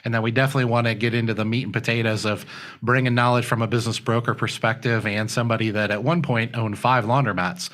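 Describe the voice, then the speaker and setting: A man's voice: medium pitch, steady tone, fast, a bit bright. A man co-hosting a podcast, chatting casually and upbeat with his guest and laughing easily. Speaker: podcast co-host.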